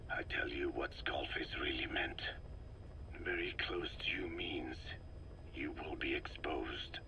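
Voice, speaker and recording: An actor voicing a grave, wise old man in a video game, speaking in a hushed, breathy whisper with solemn, deliberate phrasing. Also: rumbling low, background noise, full character voice. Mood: grave warning. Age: older. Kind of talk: scripted video game dialogue.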